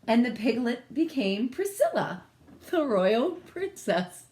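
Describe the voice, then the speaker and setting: Casting: woman storyteller for picture books, lively pitch movement, slow pace, informal commentary between passages. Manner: expressive, pausing to comment on the pictures. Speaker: picture-book reader. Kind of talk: read-aloud storytelling for children with casual asides.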